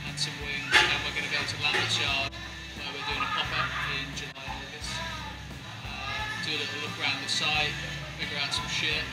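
A man's voice: fairly high pitch, moderate pace. Easygoing young man, slightly off-mic. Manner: chatty, easygoing, full of fillers and restarts. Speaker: vlog co-host. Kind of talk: casual travel vlog chat. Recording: mic a bit far off, loud music behind.

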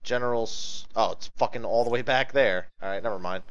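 A man's voice: medium pitch, a little fast, lo-fi sound. A man giving casual live video game commentary, thinking aloud and swearing as he chats with viewers. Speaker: livestream host playing a video game. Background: game music.

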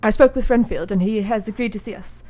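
A woman's voice: mid-range voice, fast, mellow, slight background noise, close to an everyday voice. A woman playing a firm, businesslike asylum doctor in a radio play. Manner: clinical, businesslike.

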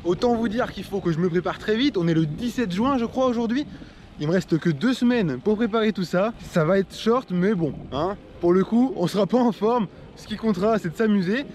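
A man's voice: high-pitched, fairly quick. A young man vlogging to camera while riding a road bike outdoors in the rain, chatting straight to his viewers. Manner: chatty, talks to viewers directly. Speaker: cycling vlogger. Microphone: action camera on the bike. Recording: loud wind noise outdoors. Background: wind and traffic noise.

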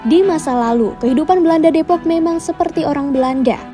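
High mellow woman narrating documentary. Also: fairly quick. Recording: voice-over with background music.

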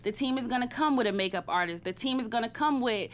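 Young woman, slightly high, relaxed. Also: quick pace. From Wisconsin, US. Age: young adult. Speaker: recording artist guest. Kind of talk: casual interview chat. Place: podcast studio with a large window. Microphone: studio boom mic, headphones.

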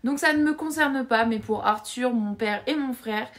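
A woman's voice: a little high, moderate pace, fairly bright tone. A young woman from France vlogging from her bedroom, chatting casually and directly to the viewer with frequent fillers. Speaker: travel vlogger. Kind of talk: casual talking-head vlog. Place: bedroom with shelves, indoors.

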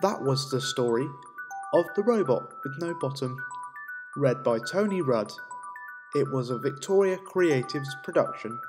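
Female children's story announcer, low register, scripted, reverberant over prominent music. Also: expressive, a little slow. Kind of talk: scripted introduction and sign-off for children's stories.

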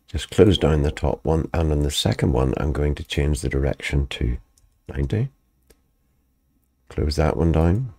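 A man, a video editing tutor, calmly walking step by step through a software tutorial over a screen recording, his voice slightly croaky after the flu. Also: rumbling low, no room sound. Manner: calm, methodical step-by-step explaining.

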